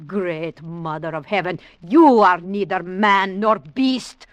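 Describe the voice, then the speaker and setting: Slow-talking, fiery, world-weary woman. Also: on the low side, no echo. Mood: scornful fury. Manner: dramatic, deliberate phrasing. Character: fiery, world-weary, knife-carrying woman of the seedy waterfront. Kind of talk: old-time radio drama acting.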